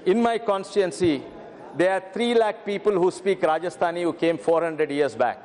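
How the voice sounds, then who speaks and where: Middle-aged guy, speech in parliament, slightly high voice, echo of a big hall. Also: normal speed, darkish tone. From Telangana, India. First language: Telugu. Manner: earnest, repeats "madam" often, brief stammers. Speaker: member of parliament. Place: large parliament chamber. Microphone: desk microphone. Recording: reverberant parliament chamber.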